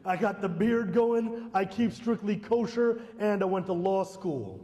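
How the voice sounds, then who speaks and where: A man's voice: high-pitched, animated, a little slow. A man performing stand-up comedy on stage. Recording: reverberant hall, noisy live stage.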